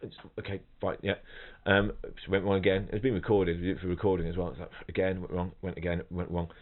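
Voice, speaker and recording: A middle-aged musician talks in a low voice, chatty and full of restarts. He sings rhythms and imitates the people in his stories. The sound is narrow and tinny, like a phone call. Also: flat delivery, quick pace. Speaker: guest, professional trombonist. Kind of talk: casual podcast chat, anecdotes. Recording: thin phone-like audio.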